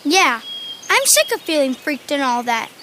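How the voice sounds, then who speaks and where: Imaginative anxious youngster, high voice, casual, candid, audio drama dialogue, outdoor bird noise behind. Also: female voice, sing-song, moderate pace, a bit bright. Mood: fed up, nervous. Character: anxious but game, imaginative kid named Jordan. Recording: clear outdoor nature noise, birds.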